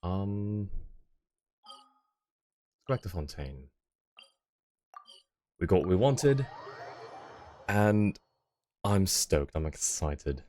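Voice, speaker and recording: Very low-voiced man streaming a game. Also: expressive, extremely slow, long pauses, mellow, a little hiss or hum. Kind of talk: casual chat while playing a game for viewers.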